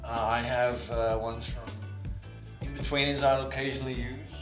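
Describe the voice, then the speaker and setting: A man's voice: mid-range voice, level tone, moderate pace. A man co-hosting a podcast, bantering casually in a teasing, jokey manner. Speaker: podcast co-host. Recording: roomy sound with music behind. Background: music.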